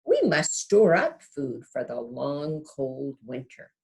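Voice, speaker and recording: A woman voicing a nurturing, provident mother bear who instructs her cub in a measured storybook manner. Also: extremely low pitch, huge pitch swings, gravelly, darkish tone, heavily acted.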